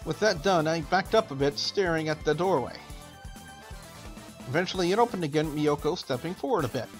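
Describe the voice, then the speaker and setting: A male gaming host with a voice on the higher side reads story text aloud and drops in casual remarks. Faint music runs behind his voice. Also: expressive, a little fast. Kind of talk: let's play, reading a visual novel aloud with casual asides.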